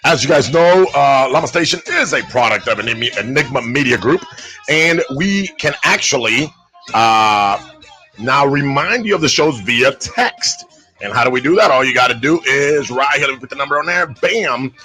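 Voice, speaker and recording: A man's voice: medium pitch, animated, normal speed, bright, forceful tone, faint music behind. A man from Puerto Rico hosting a live stream, chatting informally and addressing listeners like brothers. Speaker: live show host. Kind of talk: casual live-stream chat.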